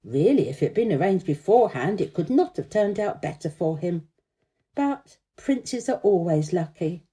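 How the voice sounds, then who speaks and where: A woman voicing a supercilious, self-important male firework rocket in a storybook, speaking slowly and distinctly as if dictating. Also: lowish voice, big pitch swings, mellow. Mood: haughty. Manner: very slow, distinct, dictating, haughty.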